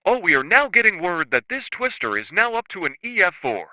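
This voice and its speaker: A man's voice: high voice, sing-song, a little fast, pushed and bright, dry recording, full character voice. A man voicing an alarmed TV weatherman who urges viewers to take shelter in an emphatic broadcast manner. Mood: urgent. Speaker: TV weather forecaster.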